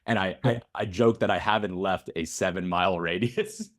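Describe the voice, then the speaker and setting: A man's voice: low voice, moderate pace. A man from the United States hosting a podcast, reading a scripted intro and then asking questions with lots of hesitations. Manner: hesitant, many fillers and restarts in questions. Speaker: podcast host. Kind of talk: casual interview chat.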